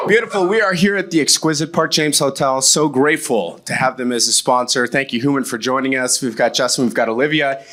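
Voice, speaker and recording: A man's voice: a little high, bright, forceful tone. A middle-aged man hosting a live podcast in front of an audience in a hotel event room, enthusiastic and upbeat, on a handheld mic. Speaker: podcast host.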